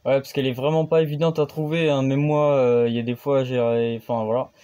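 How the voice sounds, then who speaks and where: Hesitant man with a mellow voice. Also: mid-range voice, fairly even intonation, fairly quick, a little hiss or hum. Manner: hesitant, full of fillers and restarts. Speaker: amateur radio operator and channel host. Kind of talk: casual on-air explanation.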